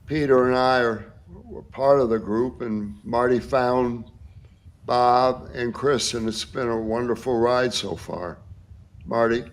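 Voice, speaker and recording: An 88-year-old man speaking as a podcast panelist, gracious and unhurried. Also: mid-range voice. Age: 80s. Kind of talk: casual panel chat.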